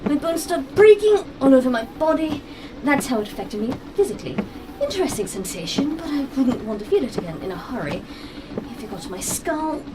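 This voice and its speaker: A woman playing a quick-witted, eccentric time-travelling adventurer in an audio drama, rambling breezily and flippantly even in danger. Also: high voice, recorded from a distance, background noise. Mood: musing, explaining.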